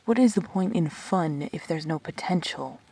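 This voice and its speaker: A woman's voice: fairly low pitch, animated, normal speed, mellow, husky, rough recording. A woman playing a guarded, defensive, brooding immortal in an intimate sci-fi audio drama, terse and hesitant. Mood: bitter, despairing.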